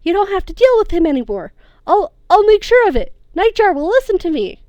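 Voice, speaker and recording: Woman doing a teen boy sidekick, earnest and pleading, high and loud, dry close sound. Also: moderate pace, no room sound, lo-fi sound, natural voice.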